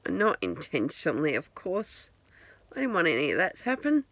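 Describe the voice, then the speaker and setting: A woman's voice: deep voice, wildly swooping pitch, moderate pace, a bit bright, dry recording. A woman, chatting to her phone camera at home in a rambling, self-deprecating way. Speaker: vlogger. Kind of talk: casual talk to camera. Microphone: handheld phone.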